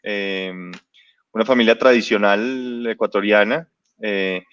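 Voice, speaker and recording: Low-voiced man, halting storytelling. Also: a bit bright, clear, resonant tone, no echo, lo-fi sound. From born in Spain, raised in Ecuador and Colombia. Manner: hesitant, many fillers and restarts. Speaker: guest entrepreneur. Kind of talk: casual interview storytelling.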